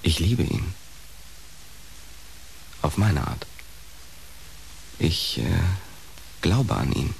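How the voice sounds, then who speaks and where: A resigned, devoted young man in a radio play. He speaks in a low, languid voice with wry, wistful irony, on a faintly hissy recording. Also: big pitch swings, very slow, vocal fry, long pauses, full character voice, rough recording. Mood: resigned devotion. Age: young adult. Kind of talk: radio drama acting.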